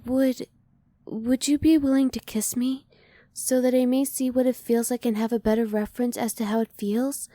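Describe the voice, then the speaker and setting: A woman playing a curious, emotionally reserved female alien in an ASMR roleplay, soft-spoken, with formal, measured and precise wording. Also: on the high side, steady tone, no room sound, studio quality, natural voice. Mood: hesitant, polite.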